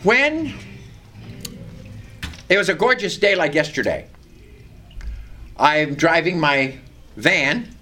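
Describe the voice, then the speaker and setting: An older man preaching a sermon with a loud, carrying voice, reading scripture and telling a personal story with animation. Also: fairly high pitch, expressive, slow, long pauses.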